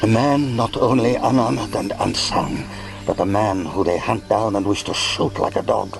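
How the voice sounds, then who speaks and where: An actor playing a megalomaniac villain lord in a radio play, cold, grandiose and self-pitying, with measured speech and a gravelly voice. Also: male voice, on the low side. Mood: bitter, grandiose. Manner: pompous, measured. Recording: music behind the voice.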